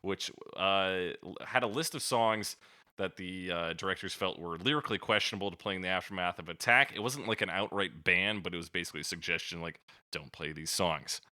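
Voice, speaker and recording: A man's voice: low-pitched, fairly quick, sharp tone. A man hosting a podcast in casual chat, with a sweary, slangy, jokey way of talking. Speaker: podcast host.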